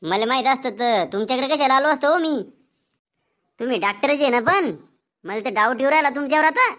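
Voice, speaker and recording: A man's voice: very high voice, expressive, brisk, bright, forceful tone, heavily acted. A man voicing a cheeky, doubting male patient in a comedy cartoon, complaining and arguing in a Vidarbha Marathi accent. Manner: complaining, argumentative.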